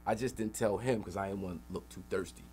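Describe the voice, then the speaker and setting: Emphatic middle-aged New man, lowish voice. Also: fairly even intonation, fast talker, a little mellow. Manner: emphatic, streetwise, slangy. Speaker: interview guest, rapper and activist. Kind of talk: animated storytelling in a radio interview. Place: radio interview room.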